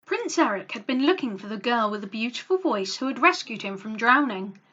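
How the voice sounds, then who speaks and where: Animated, bright-voiced woman storyteller. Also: fairly high pitch, a little fast, highs cut off. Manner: dramatic, animated delivery for young listeners. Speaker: storyteller, channel host. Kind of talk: expressive children's read-along storytelling.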